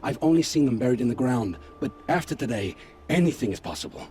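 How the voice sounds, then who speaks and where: A man playing a guilt-ridden scavenger scout in a video game, speaking gravely and with haunted, poetic phrasing. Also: medium pitch, expressive, fast talker, darkish tone, natural voice. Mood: explaining, uneasy. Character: guilt-ridden male scavenger scout, forced informant, begging for family. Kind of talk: dramatic video game acting. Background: background music.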